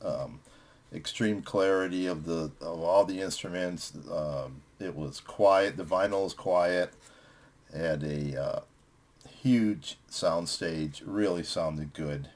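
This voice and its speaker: A man's voice: sits low, takes it slowly, a little mellow. A man hosting a music vlog, chatting casually as he reviews records with friends.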